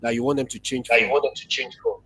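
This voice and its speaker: A man with a husky voice speaks haltingly and repeats himself in a live online panel discussion. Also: mid-range voice, little pitch movement, fairly bright tone, low-quality recording. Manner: halting, repeats phrases as he goes. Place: online video call. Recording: doubled by delayed feedback on video call.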